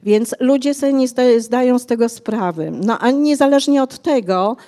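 Older female author, mellow and unhurried, fills pauses often while explaining. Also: fairly high pitch. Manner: hesitant, many fillers, explanatory. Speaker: author guest. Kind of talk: conversational interview. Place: bookshop cafe stage, indoors. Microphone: handheld mic.

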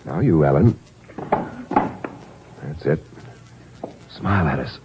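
An actor playing a suave, steady lawyer hero with measured, controlled delivery in a 1950s radio drama. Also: male voice, rumbling low, full character voice. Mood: tense, controlled. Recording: dull, hissy old radio recording.

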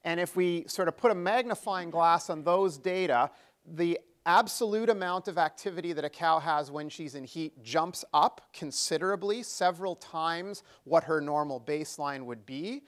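A man's voice: on the high side, normal speed. A middle-aged man giving a technical seminar lecture with a handheld mic in an exhibition seminar room, explaining step by step with frequent fillers and restarts. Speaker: conference speaker. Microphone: handheld microphone.

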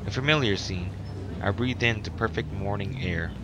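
A man's voice: fairly low pitch, slow pace, lo-fi sound, noisy background. A man streaming a game, reading its text aloud and adding casual commentary. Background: game music.

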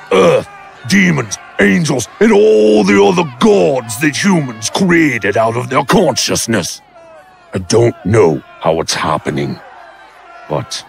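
A male demon, voiced by a man talks slowly in a creaky, gravelly voice that swings widely in pitch. He is grave, evasive and ominous, a dramatic audio drama figure. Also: full character voice.

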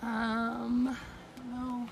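A woman's voice: fairly high pitch, completely flat delivery, drawn-out words, creaky, noisy background. A young adult woman, hosting a casual, upbeat, teasing livestream walkthrough of auction items in a storage shed. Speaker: auction company host.

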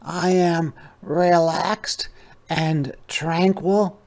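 A man voicing a slow, mellow, peace-loving sloth in a storybook read-aloud, drawing out the words. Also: on the high side, steady tone, slight background noise, pitched above the performer's own voice. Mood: calm, unhurried. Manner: slow, drawn-out delivery.

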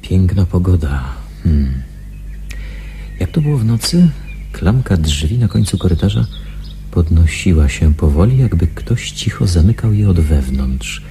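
A man's voice: sits low, big pitch swings, unhurried, noisy background, full character voice. An actor playing a suspicious secret investigator in a radio drama, brooding and questioning as he thinks aloud. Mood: pensive, suspicious. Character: suspicious male secret investigator posing as a patient. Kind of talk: radio drama acting with inner monologue.

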